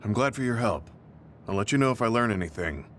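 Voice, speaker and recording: A man playing a stoic, skeptical veteran soldier in a video game, with a grave, measured delivery. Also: fairly low pitch, lively intonation, fairly bright tone, a little hiss or hum. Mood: reserved. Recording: music behind dialogue. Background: game soundtrack music.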